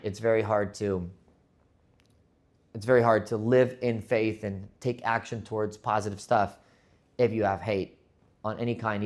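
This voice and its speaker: A man's voice: on the low side, darkish tone. A man from Spain, hosting a motivational podcast in an earnest monologue that often repeats words as he restarts. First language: Spanish. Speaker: podcast host.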